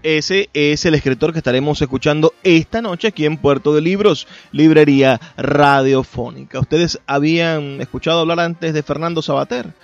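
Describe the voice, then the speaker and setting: A man's voice: a little high, steady tone, normal speed, creaky, hardly any pauses, no echo, lo-fi sound. A man hosting a radio programme, partly reading a prepared text aloud and addressing listeners. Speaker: radio host. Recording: band-limited radio sound with music behind. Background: music.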